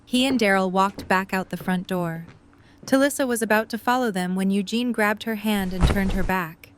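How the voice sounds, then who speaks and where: A woman's voice: mid-range voice, normal speed, background noise. A woman reading scripted story narration for an audio drama.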